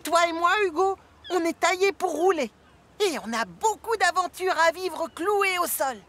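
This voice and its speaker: A woman's voice: very high voice, lively intonation, normal speed, a bit bright. A woman voicing a cheerful young male tank engine in a cartoon dub, speaking in a friendly, earnest way. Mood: wistful.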